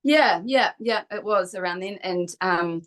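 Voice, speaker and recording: A woman's voice: mid-range voice, measured pace, a bit bright. A middle-aged woman with a New Zealand accent, speaking casually and openly as an interview guest in a chatty, reflective way. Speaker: guest, kinesiologist.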